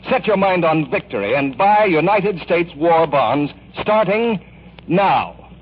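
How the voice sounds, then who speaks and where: A man's voice: on the high side, animated, slow. A man working as a radio announcer, reading a scripted 1940s public appeal. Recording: muffled, hissy old radio broadcast.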